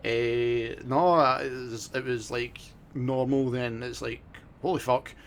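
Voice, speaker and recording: A man with a Scottish accent in casual co-host chat, sweary and halting, often repeating his words. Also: medium pitch, steady tone, a little hiss or hum. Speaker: podcast and stream co-host. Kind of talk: casual chat during a gaming stream.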